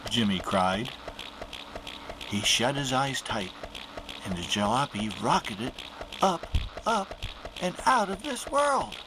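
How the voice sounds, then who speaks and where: A man narrating a children's picture book aloud in an animated storytelling manner. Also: medium pitch, slow, pauses often, background noise.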